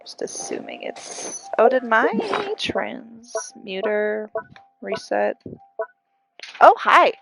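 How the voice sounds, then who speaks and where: A young woman with a congested, breathy voice, giving casual, excitable live commentary as she streams a game. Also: medium pitch, extremely slow, poor audio. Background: game music.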